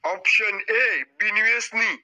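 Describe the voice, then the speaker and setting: A man's voice: slow, bright, forceful tone, exaggerated voice, low-quality recording. A man voicing a cunning, troublemaking old village grandfather in a cartoon, talking in a blunt, rustic, boastful way. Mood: mocking. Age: older. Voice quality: high squeaky cartoon voice. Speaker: game show contestant. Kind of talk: cartoon comedy acting.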